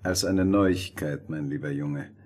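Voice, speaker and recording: An actor playing a refined, pompous gentleman, sly and measured, in a fairy-tale radio play. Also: a bass voice, slight background noise. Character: smooth-mannered, condescending noble gentleman, probing and sly.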